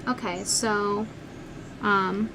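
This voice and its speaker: A woman's voice: mid-range voice, steady tone, extremely slow, gravelly, crowd noise, rough recording. A woman hosting a call-in show, teasing and mocking her callers bluntly. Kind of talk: mocking comedic phone interview.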